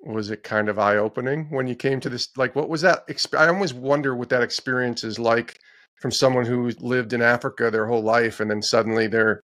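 A man's voice: medium pitch, fairly even intonation, fairly quick, fairly bright tone. A middle-aged man hosting a podcast from a home studio, asking questions in a conversational way and checking in with tag questions. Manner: friendly, prompting questions with "yeah" and tag questions. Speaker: podcast host. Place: indoors, home studio with posters behind. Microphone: headset or desk mic on a video call, headphones on.

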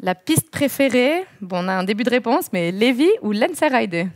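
Jeune femme, coanimatrice télé, voix plutôt aiguë, questions rapides, son sec. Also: female voice, a little high, lively intonation, no echo, studio quality. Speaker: co-host. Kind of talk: TV interview, quick-fire questions. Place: TV studio set.

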